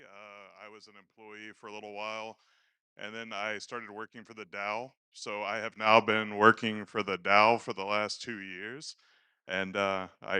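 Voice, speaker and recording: A man speaks in a low, smooth voice with a bright edge and a dry sound. He is a novice presenter on a conference stage, informal and a little hesitant into a handheld microphone. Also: no room sound. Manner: hesitant, self-effacing. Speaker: first-time conference speaker. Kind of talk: informal unscripted stage talk. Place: conference stage at live event.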